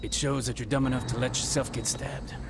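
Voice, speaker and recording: A man acting a hard, sardonic gang boss for a game cutscene. He speaks curtly at a medium male pitch, with clear noise behind him. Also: fairly even intonation, a little fast, lightly acted. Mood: sarcastic, wary. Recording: noisy game ambience behind.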